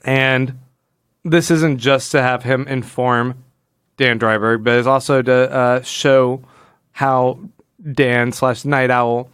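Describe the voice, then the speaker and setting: A man's voice: mid-range voice, fairly even intonation, takes it slowly, fairly bright tone, clear, resonant tone, dry recording, studio quality. A man hosting a casual comic book podcast in a wry, jokey way, often acting out imagined dialogue. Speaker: podcast host.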